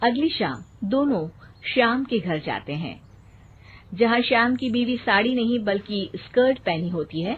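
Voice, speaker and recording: A woman's voice: fairly high pitch, animated, measured pace, husky. A woman reading scripted story narration. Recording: thin phone-like sound, faint noise.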